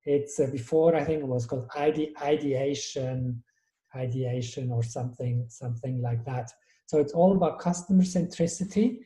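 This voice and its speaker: A man's voice: mid-range voice, moderate pace, warm tone, roomy sound. A man from Switzerland, a guest in an interview, talking thoughtfully and often restarting his sentences. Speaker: guest, innovation strategist. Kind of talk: conversational interview.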